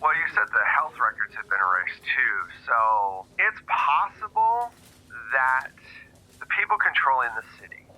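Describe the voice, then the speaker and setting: A man playing a blunt, matter-of-fact agency boss in an improvised tabletop game, speaking informally and haltingly. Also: mid-range voice, very animated, pushed and bright, exaggerated voice, poor audio. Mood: musing, suspicious.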